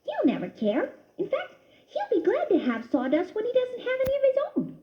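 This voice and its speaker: A woman voicing a young boy mouse who is greedy and later guilty, with a high squeaky voice and a stammer. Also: huge pitch swings, fairly quick, reverberant, full character voice. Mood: scheming. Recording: dull lo-fi recording.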